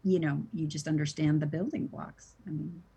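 An American woman who teaches Sanskrit, talking in a relaxed, thoughtful way with many "you know"s. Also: low voice, normal speed, husky. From United States. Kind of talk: casual conversation.

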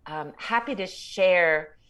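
A woman's voice: on the low side, slow pace, a bit bright. A woman in her sixties, a podcast guest and author, speaking in a chatty, reflective way and laughing often. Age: 60s. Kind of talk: casual interview chat.

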